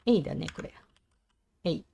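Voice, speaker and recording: A Japanese woman with a Japanese accent, practising language aloud on a casual livestream in a halting, hesitant way. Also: mellow. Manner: hesitant, halting English with self-corrections. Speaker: live streamer learning English. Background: computer keyboard typing and clicking.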